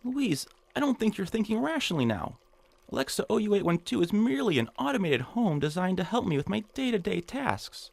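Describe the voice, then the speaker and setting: An actor playing a nervous, hapless hypochondriac in an audio drama, fretful and stammering. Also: male voice, sits high, sing-song, brisk, gravelly, no room sound, exaggerated voice. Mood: fretful, placating. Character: nervous, whiny nebbish hypochondriac man, eager and hapless. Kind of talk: audio drama acting in old-time radio suspense style.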